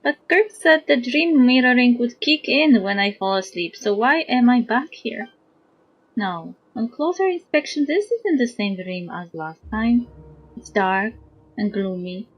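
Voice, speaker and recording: Female game streamer reading lines aloud: highish, husky, animated, slightly muffled audio over music. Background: background game music.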